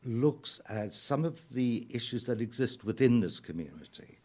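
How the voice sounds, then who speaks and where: A middle-aged or older man with a South African accent, talking reflectively as a radio interview guest in thoughtful, halting, measured phrases. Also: mid-range voice, animated, dark tone. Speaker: interview guest, author and lyricist.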